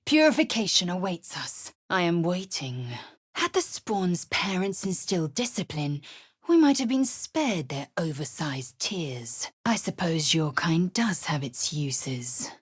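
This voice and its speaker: Game role: disciplined alien warrior woman, imperious and scornful, lowish rasping voice, formal clipped delivery. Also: sing-song, measured pace, heavily acted. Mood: contemptuous. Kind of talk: video game character voice lines.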